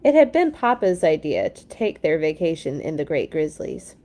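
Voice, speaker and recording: A woman's voice: sits low, very animated, normal speed, mellow. Lady doing a kids read-along, storybook narration w little chatty side comments. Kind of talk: animated picture-book read-aloud with chatty asides.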